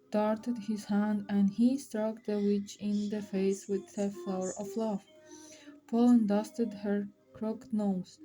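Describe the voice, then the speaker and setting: A woman reading a fairy tale aloud as storyteller, with halting, dramatic phrasing. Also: medium pitch, mellow, poor audio.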